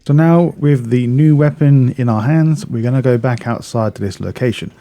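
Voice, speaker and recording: A man's voice: mid-range voice, moderate pace, warm tone. A man narrating a video game walkthrough in a casual, instructional way, explaining step by step and often repeating or correcting himself.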